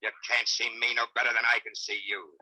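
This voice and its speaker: An actor playing a cocky, menacing gangster in a 1940s radio serial, talking in tough street slang. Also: male voice, mid-range voice, level tone, fairly quick, bright, forceful tone, poor audio. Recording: old radio broadcast recording.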